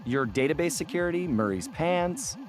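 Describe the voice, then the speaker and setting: An American man voicing a suave, wisecracking raccoon master thief in a cartoon game, with a smooth, wry, laid-back delivery. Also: a little high, lively intonation, moderate pace, close to an everyday voice. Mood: teasing. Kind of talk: cartoon game narration and dialogue.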